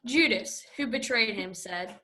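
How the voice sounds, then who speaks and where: A woman narrating a scripture reading in a steady, even read-aloud way. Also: on the high side, unhurried.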